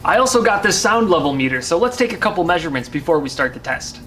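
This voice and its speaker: A man's voice: on the high side, expressive, quick pace, a bit bright. A man presenting a home experiment in casual, explanatory vlog narration. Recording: music behind the voice.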